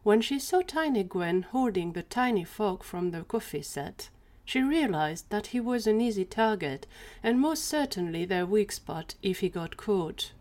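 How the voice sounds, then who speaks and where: A woman's voice: mid-range voice, lively intonation, a little slow, darkish tone. A woman narrating a scripted fiction story as the narrator of an audio drama.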